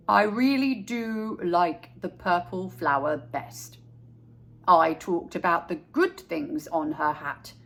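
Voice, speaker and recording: A woman voicing a calm, kindly, wise old grandfather in a storybook, with a measured, gently advising manner. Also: on the low side, gravelly, voice pushed down, heavily acted.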